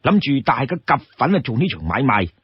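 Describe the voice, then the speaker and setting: Man playing a courteous young Cantonese peddler, lively pitch. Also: brisk, husky, dry recording, lo-fi sound. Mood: courteous, friendly. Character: polite young male travelling peddler, courteous, persuasive.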